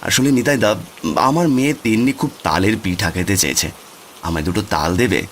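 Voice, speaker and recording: A man's voice: fairly low pitch, sing-song, brisk, slight background noise. A man voicing a poor, doting village father in a cartoon, humble and coaxing. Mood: humble, pleading.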